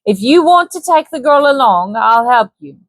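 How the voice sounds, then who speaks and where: A woman's voice: a little high, expressive, normal speed, dry recording, voice pushed up. A woman voicing a scheming, coarse male trader and hunter with a Cockney accent and a sly, persuasive, ungrammatical way of talking. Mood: wheedling.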